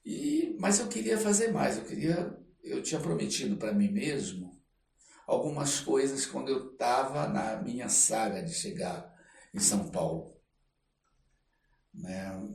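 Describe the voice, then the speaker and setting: Older brazilian guy chatting reflectively, expressive, middling pitch, bit far from the mic. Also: measured pace, gravelly. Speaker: interview guest, artist. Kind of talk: conversational storytelling. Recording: roomy, distant mic, faint noise.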